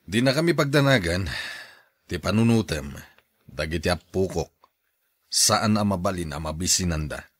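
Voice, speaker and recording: A man's voice: deep voice, expressive, normal speed, pauses often, husky, no echo, clean studio sound. An actor playing a kindly older father looking after his grown daughter, in a Filipino radio drama with a Filipino accent. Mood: concerned, kindly.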